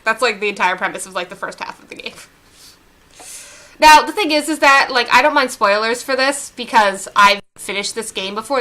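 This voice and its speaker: A woman's voice: medium pitch, bright, forceful tone, low-quality recording. A woman streaming a video game, chatting casually and rambling with lots of fillers. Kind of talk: casual let's-play chat.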